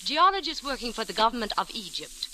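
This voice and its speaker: Bright-voiced, commanding femme fatale. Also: female voice, a little high, very animated, fast talker. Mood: coolly businesslike. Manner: poised, formal, seductive. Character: alluring, commanding female foreign intrigue ringleader. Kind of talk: old radio drama acting. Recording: hissy old-time radio recording.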